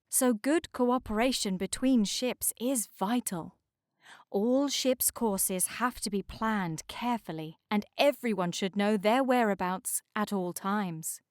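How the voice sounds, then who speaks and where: A woman's voice: mid-range voice, dry recording. Woman reading a children's storybook, dry sound. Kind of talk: children's storybook read-aloud narration.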